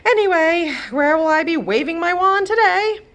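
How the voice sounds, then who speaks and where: A woman's voice: very high-pitched, normal speed, fairly bright tone, lo-fi sound, pitched above the performer's own voice. A woman voicing a weary, touchy fairy godmother who mutters and complains, in a children's storybook read-aloud. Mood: fed up.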